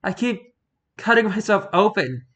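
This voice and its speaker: A man's voice: high-pitched, animated, moderate pace, a bit bright, voice pushed up. Man acting out anguished doctor, raised desperate voice. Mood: desperate pleading. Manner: desperate, pleading, intense. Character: anguished bleeding doctor pleading in endless torment. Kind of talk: dramatic reading of horror fiction.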